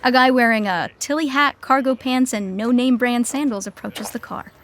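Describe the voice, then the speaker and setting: A woman's voice: on the high side, moderate pace, background noise. A woman narrating a scripted podcast sitcom in a dry, matter-of-fact way, setting the scenes.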